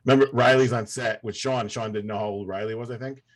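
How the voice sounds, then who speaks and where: A man's voice: on the low side, quick pace, a crowd in the background. A man hosting a casual livestream panel chat over a video call, joking and swearing freely. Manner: profane, joking, animated. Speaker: livestream host.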